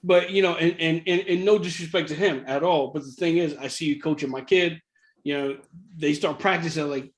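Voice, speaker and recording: A man in middle age with a slightly high voice. He tells a personal story in a loose, conversational way. He is animated and often repeats himself to drive a point home. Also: a little fast. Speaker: real estate investor sharing his story.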